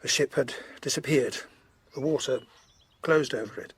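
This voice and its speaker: Man as a husky, courteous Georgian colonel. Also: mid-range voice, dry recording. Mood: grave. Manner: formal, hesitant, measured storytelling. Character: courteous, earnest Georgian colonel, grave and considerate. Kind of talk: period audio drama acting.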